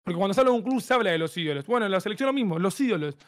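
Young Argentine male, high register, quick delivery, insistent debater. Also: expressive. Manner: argumentative, insistent. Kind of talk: heated informal football debate. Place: indoors, home streaming setup on a group video call.

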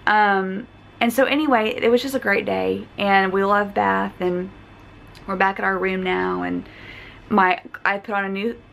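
A young woman with a hoarse voice, talking earnestly to a handheld camera in a hotel room, with many fillers and restarts. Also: medium pitch, steady tone, unhurried, a bit bright, a little hiss or hum. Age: young adult. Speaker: travel vlogger. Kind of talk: casual vlog chat. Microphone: phone camera at arm's length.

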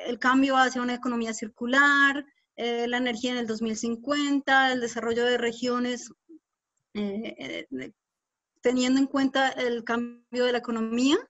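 A Colombian woman with a fairly high voice presents and explains in an online talk. She goes a little hesitantly, doubling back on words. The sound is muffled, lo-fi video call audio. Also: a bit bright. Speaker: guest speaker, urban designer. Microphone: video call microphone.

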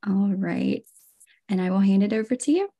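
A woman's voice: mid-range voice, animated, moderate pace, dull audio. A woman in her thirties, an enthusiastic host introducing artists on a video call from a room at home. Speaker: gallery curator and host. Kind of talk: welcoming introduction, reading artist bios.

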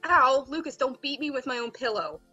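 A woman's voice: high-pitched, animated, a little fast, pushed and bright, slightly rough, highs cut off, faint music behind, heavily acted. A woman voicing a sleepy, melodramatic teenage boy who calls himself a great wizard, protesting in a whiny way. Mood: grumbling. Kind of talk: fan voicing of a visual novel.

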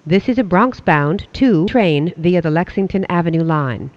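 A transit announcer reading scripted subway train announcements in a formal, measured way. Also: mid-range voice, expressive, no echo. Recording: dull, lo-fi audio.

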